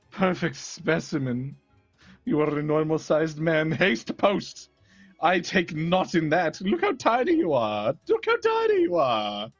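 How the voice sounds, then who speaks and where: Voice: mid-range voice, husky, no echo, lo-fi sound, full character voice. Someone playing a cackling villain barber. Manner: archaic pompous speech, frequent cackles.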